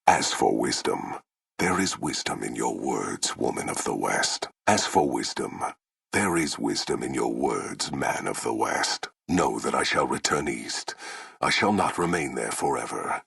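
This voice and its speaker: An actor playing a grim, proud warlord commander in a video game, with a gravelly, husky, rumbling voice and a solemn, commanding, formal delivery. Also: male voice, animated, a little slow, a bit bright, dry recording, studio quality, heavily acted. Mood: brooding. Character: towering, menacing male warlord commander, proud, grim, honor-bound. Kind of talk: theatrical video game villain acting.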